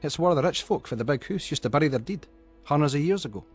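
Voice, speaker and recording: A man's voice: a little high, lively intonation, fast talker, husky, faint music behind. A man with a Scottish accent giving a dramatic, suspenseful narration of a story in colloquial Scots dialect.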